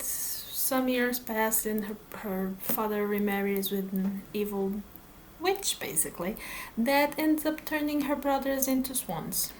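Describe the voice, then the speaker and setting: A woman's voice: on the high side, slight background noise, rough recording. A woman whose first language is Portuguese, chatting casually to camera about her reading plans, hesitant, with many fillers and restarts. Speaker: book vlogger.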